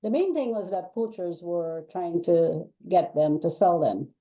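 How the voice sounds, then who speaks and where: A woman's voice: fairly low pitch, lively intonation, measured pace, mellow. An older woman from Trinidad and Tobago, a guest author chatting casually in an interview, talking in loose runs with many ums and restarts. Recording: dull lo-fi call audio.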